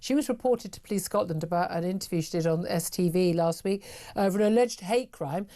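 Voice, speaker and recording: A woman's voice: fairly low pitch, a little fast, dark tone. A woman hosting a political talk radio show, emphatic and sarcastic. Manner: emphatic and sarcastic, many fillers.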